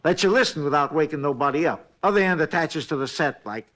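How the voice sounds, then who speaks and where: Talkative backwoods night clerk, bright, lively, folksy grammar, 1950s radio play. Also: male voice, fairly high pitch, fast talker. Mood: chatty. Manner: rambling, chatty, rustic grammar. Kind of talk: 1950s radio drama acting.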